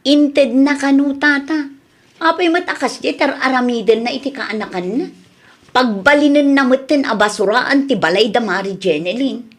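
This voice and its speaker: A woman acts out an outspoken village woman with a rather high voice. Her speech has an Ilocano accent, and the delivery is that of radio comedy drama. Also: moderate pace. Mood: reproachful.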